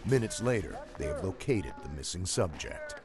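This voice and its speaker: A man reading scripted documentary narration in a measured, storytelling way. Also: deep voice, big pitch swings. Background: music.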